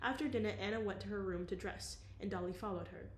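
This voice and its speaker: A woman's voice: mid-range voice, fast talker. A young woman reading a novel aloud, with casual, chatty asides in between. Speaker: audiobook reader.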